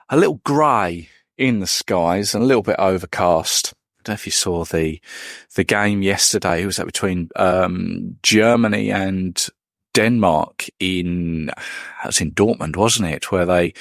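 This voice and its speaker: A man's voice: low voice, dry recording, clean studio sound. A man hosting a podcast, giving rambling on-the-spot commentary from a football stadium, with many ums and restarts. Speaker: podcast host reporting from a match. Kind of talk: on-the-spot match-day commentary. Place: at a football stadium, outdoors and in the stands.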